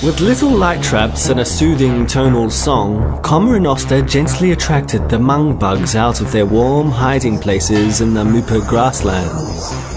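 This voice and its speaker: Man playing a deadpan sci-fi storyteller over music. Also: medium pitch, close to an everyday voice. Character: wry bar-owner storyteller recounting a space tale. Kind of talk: scripted comic science fiction narration.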